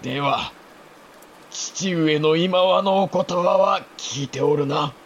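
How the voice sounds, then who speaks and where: A man playing a dying older samurai lord, an anguished father speaking halting, archaic lordly Japanese in a historical audio drama. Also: a little high, dull audio, slight background noise. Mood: grave confession.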